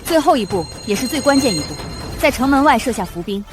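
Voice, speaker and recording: A woman's voice: on the high side, expressive, quick pace, lightly acted. An actress playing a proud, resolute woman general in a Chinese costume drama. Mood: assured. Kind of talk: costume short-drama acting. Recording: loud background music over dialogue.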